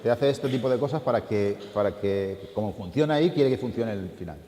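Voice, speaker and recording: A man's voice: on the low side, a little fast, warm tone. A man speaking as an animator at a public talk, explaining informally and often repeating his phrases as he goes. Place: large room at an art school event. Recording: echoey hall, distant reverb, background noise.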